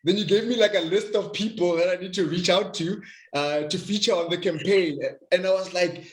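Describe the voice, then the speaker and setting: Enthusiastic man hosting a video call interview, fairly high voice, echoey room. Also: a little fast. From South Africa. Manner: effusive, enthusiastic, some stammered restarts. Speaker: host. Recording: roomy echo.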